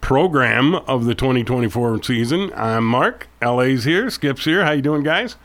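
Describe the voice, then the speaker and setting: A man's voice: medium pitch, animated, normal speed, a bit bright, no echo. A man hosting a local radio golf show with a head cold that makes him a little nasal, chatting in a folksy, loose way. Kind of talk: casual radio chat and announcements.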